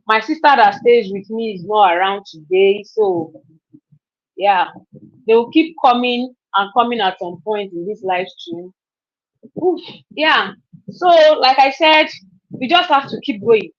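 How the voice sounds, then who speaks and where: A woman's voice: a little high, a little slow, pauses often. A woman, chatting casually on a live stream, repeating herself and laughing often. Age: 30s. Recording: dull lo-fi stream audio.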